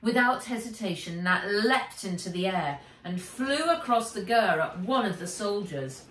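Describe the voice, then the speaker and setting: Middle-aged woman, expressive story narration, slow pace, echoey room, away from the mic. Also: medium pitch, distant mic, slight background noise. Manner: expressive, animated, emphatic storytelling. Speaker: author reading her own book aloud. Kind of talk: dramatic audiobook-style narration for children. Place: indoors, bright room with armchair.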